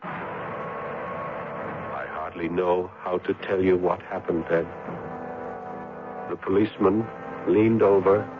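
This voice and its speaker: A man's voice: low-pitched, slow pace, pauses often. A man playing a haunted young lover who narrates his tragedy in a grave, theatrical radio horror style. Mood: horrified, grave. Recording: dull vintage radio recording, music behind.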